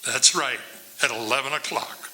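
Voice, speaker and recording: A man's voice: medium pitch, moderate pace, pushed and bright. A man playing a businesslike crime boss in an old-time radio drama, giving clipped instructions and checking details. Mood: cool, conspiratorial.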